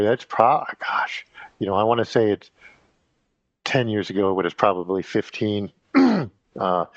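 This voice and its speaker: A man with a very deep voice rambles through a casual podcast monologue, halting on fillers and restarting his sentences. The recording is dry and close, with a dull, lo-fi tone. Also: no room sound. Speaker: podcast host.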